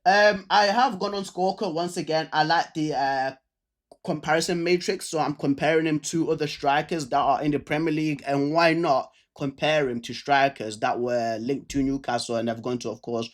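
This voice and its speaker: A man's voice: fairly high pitch, steady tone, normal speed. A man with a stammer who repeats words and restarts, casually talking viewers through a football stats breakdown. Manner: chatty, speaks straight to viewers. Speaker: football video creator.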